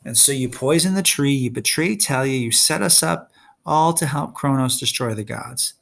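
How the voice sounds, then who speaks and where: A man's voice: medium pitch, normal speed, vocal fry, a bit bright. A man reading a storybook in the part of a bold young boy hero, blunt and challenging.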